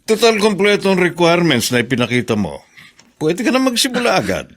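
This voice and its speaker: An actor playing a sly, businesslike Filipino shop boss with an insinuating manner in a radio drama. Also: male voice, sits high, huge pitch swings, fairly quick, fairly bright tone, full character voice. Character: sly shop boss with a shady side offer.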